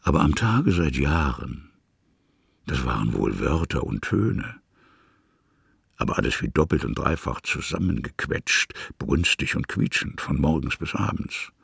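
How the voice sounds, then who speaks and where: A man narrating a literary story expressively in short, deliberate phrases, with a very deep, husky, gravelly voice. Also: sing-song, fairly bright tone, dry recording, clean studio sound.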